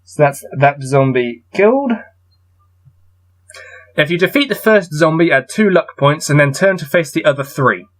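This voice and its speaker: A man reading a gamebook aloud and adding low-key, wry muttered commentary as he plays. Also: animated, normal speed, a bit bright. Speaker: solo gamebook player and reader.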